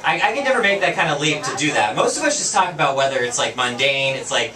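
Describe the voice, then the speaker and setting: A young man performing a stand-up comedy set on a small bar stage, talking casually and dropping into quick voiced asides. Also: medium pitch, very animated. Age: young adult. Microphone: handheld mic, far camera. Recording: echoey bar from far off, crowd laughter.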